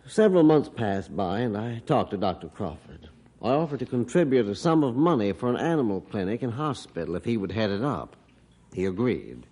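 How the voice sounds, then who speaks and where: A grieving middle-aged father from an old radio play, telling his story in a deep, low voice. He speaks earnestly and from the heart. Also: big pitch swings, brisk, warm tone. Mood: somber. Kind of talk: 1950s radio drama acting.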